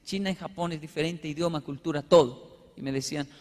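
High-pitched Colombian man speaking in a reverberant hall. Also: fairly quick. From Colombia, with Japanese background. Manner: animated storyteller, acting out short dialogues. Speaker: motivational speaker. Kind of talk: humorous storytelling talk to a live audience. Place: large hall with live audience.

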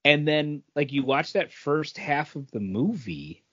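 A man's voice: on the high side, expressive, unhurried, fairly bright tone, no room sound. A man co-hosting a podcast, giving his take on films in casual conversation. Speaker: podcast co-host. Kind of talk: casual movie review chat. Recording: dull, lo-fi, dry close sound.

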